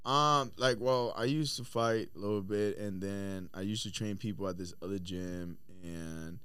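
A man's voice: lowish voice, a little slow, fairly bright tone, clear, resonant tone, no echo. A man who coaches boxing, talking casually as a podcast guest in a studio, slangy and emphatic with some swearing. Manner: slangy, profane, emphatic, often says "bro". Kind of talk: casual chat.

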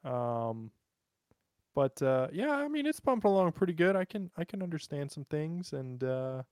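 Dude, kinda high voice, dry close mic, jokey crude podcast banter. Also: male voice, big pitch swings, moderate pace, darkish tone, clear, resonant tone, dry recording. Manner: joking, crude humor. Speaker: podcast co-host. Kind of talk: casual bantering chat.

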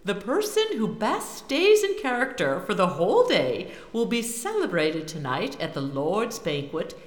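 Woman as a slow, ceremonious teacher in echoing hall. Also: mid-range voice, sing-song. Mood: grand, announcing. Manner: ceremonious, declamatory. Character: grandly theatrical woman schoolteacher playing medieval town crier. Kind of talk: mock-medieval proclamation. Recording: echoey hall with long reverb, light crowd.

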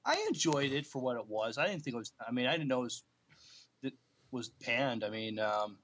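A man co-hosting a podcast, talking casually about films in a somewhat halting way. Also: mid-range voice, lively intonation, fairly bright tone. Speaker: podcast co-host. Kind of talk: casual movie news chat.

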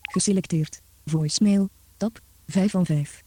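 A man's voice: sits high, a little slow, mellow. A synthetic male screen reader voice reading short interface labels through a phone speaker. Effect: text-to-speech through a phone speaker. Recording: faint noise and clicks.

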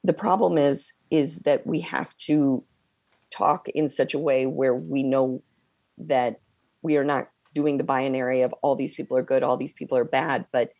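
A woman co-hosting a podcast, hoarse from losing her voice, talking in a halting, hesitant way with many pauses. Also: very deep voice, steady tone, a little mellow, no room sound. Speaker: podcast co-host. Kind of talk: casual conversation.

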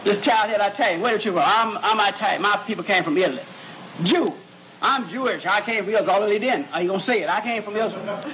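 A man, a psychologist, giving an animated lecture to students, repeating words as he searches and joking with the audience. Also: high voice, fast, a little hiss or hum, poor audio.

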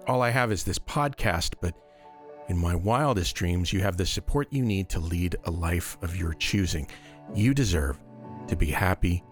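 Low-voiced middle-aged American man hosting. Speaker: podcast host. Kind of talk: conversational podcast talk.

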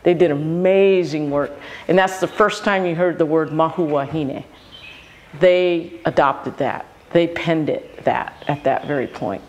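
Animated older filmmaker, higher-pitched man. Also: a little slow, a little hiss or hum. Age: around 60. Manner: animated storyteller, acts out quoted exchanges. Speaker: filmmaker guest. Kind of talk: interview answers.

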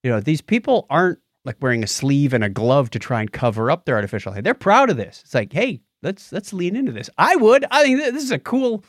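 A man hosts a casual science podcast from a dry, close-sounding studio. His pitch swings widely as he tells stories and summarizes news. He has a jokey, animated delivery. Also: fast talker, hardly any pauses, dry recording. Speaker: co-host of a science and tech show. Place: home or podcast studio with acoustic foam.

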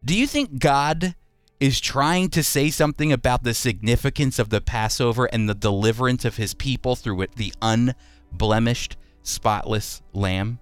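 A man hosting a radio Bible program, teaching and reading scripture in an emphatic, deliberate way with rhetorical questions. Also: medium pitch, clean tone, dry recording, soft background music.

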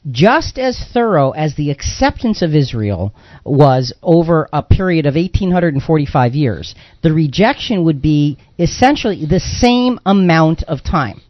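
A man's voice: on the high side, sing-song, measured pace, no echo. A man hosting a religious radio call-in show, talking through points in a conversational way. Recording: dull, band-limited radio audio.

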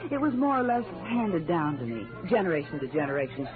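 Lilting, sly American femme fatale. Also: female voice, on the low side, big pitch swings, fast. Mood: coy, flirtatious. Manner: coy, teasing. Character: sly, flirtatious female nightclub singer, mercenary femme fatale. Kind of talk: old radio noir acting. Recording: muffled old radio recording, loud music.

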